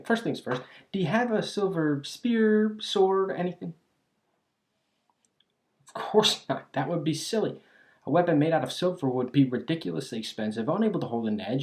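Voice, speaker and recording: A voice actor playing a clumsy, cheerfully eccentric alchemist shopkeeper in an ASMR roleplay, chatty and absent-minded, halting and trailing off. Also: medium pitch, expressive, lightly acted. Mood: eager salesmanship. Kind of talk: ASMR roleplay, scripted character acting.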